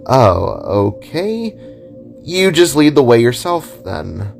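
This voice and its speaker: A man's voice: mid-range voice, sing-song, slow pace, gravelly, soft background music, exaggerated voice. A man voicing an awkward, curious, easily awed male adventurer in an amateur audio drama. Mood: mumbling, resigned. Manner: mumbling, whispering, calling out in complaint.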